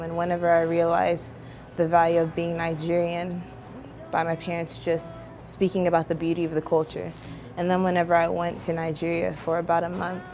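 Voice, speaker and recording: A young woman with a lowish voice looks back on her childhood in a reflective, storytelling manner. She speaks to camera over soft music. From Louisiana, United States. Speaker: interviewee. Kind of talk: personal testimony to camera.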